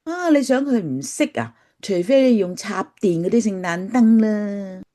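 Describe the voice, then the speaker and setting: A woman's voice: mid-range voice, expressive, a little slow, mellow, natural voice. A woman playing a plain-spoken, skeptical mother in a Cantonese radio drama, with a blunt, complaining manner. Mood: casual.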